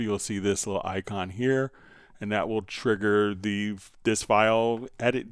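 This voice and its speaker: A man teaches software on a livestream in a smooth, resonant voice at an unrushed, slowish pace. He thinks aloud as he goes, pausing and hesitating while he demonstrates. The sound is close and dry. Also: lowish voice, no echo. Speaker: livestream host and software instructor.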